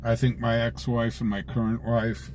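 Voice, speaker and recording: A man's voice: medium pitch, monotone, normal speed. A middle-aged American man chatting casually with livestream viewers in a folksy, joking way from inside a vehicle. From United States. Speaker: livestream host, independent scanner chaser. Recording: live raw stream with vehicle noise. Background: faint vehicle and ambient noise.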